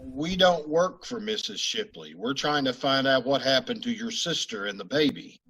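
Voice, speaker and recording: An actor playing a clipped, matter-of-fact police sergeant who also narrates, in an old-time police radio drama. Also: male voice, mid-range voice, moderate pace, a bit bright, breathy edge. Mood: terse.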